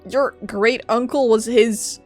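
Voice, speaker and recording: Young female streamer, casual and informal game commentary, fairly high register. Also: fairly even intonation, slow. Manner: informal, chatty reactions. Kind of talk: casual reaction commentary over gameplay. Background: game music.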